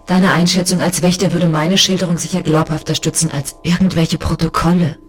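A woman plays a female police inspector in space, bitter and lonely. Her low voice is crisp and sardonic, full of reproach, with music behind it in a sci-fi radio drama. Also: level tone, fairly quick, natural voice. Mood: pressing. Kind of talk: science fiction audio drama acting and narration.

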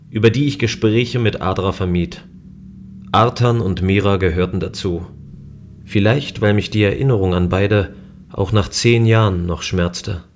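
A man's voice: low voice, a little mellow, close to an everyday voice. An actor playing a lonely, wry male space station guardian who narrates in a reflective, dry way in a scripted audio drama.

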